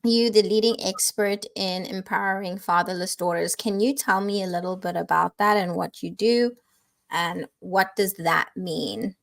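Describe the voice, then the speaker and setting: A woman's voice: mid-range voice, normal speed, creaky. Upbeat woman hosting a podcast interview. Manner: upbeat, encouraging. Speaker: podcast host.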